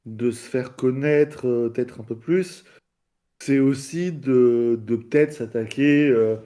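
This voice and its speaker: Guy chatting in a debate, mellow medium-pitched voice, keeps stopping to find words. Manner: hesitant, halting, searching for words. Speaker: debate participant. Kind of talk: casual debate chat.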